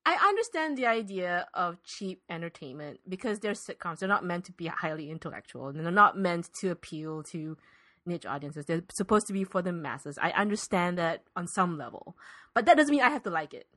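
A woman's voice: lowish voice, animated, brisk, fairly bright tone. A woman co-hosting a podcast, arguing casually and emphatically, often repeating words for stress. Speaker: podcast co-host. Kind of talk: casual debate.

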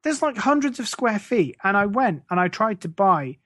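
A man's voice: high voice, sing-song, moderate pace, pushed and bright, clean studio sound. English man, podcast host, scornful, sarcastic, foul-mouthed, casual ranting, very little echo. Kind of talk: casual comic rant.

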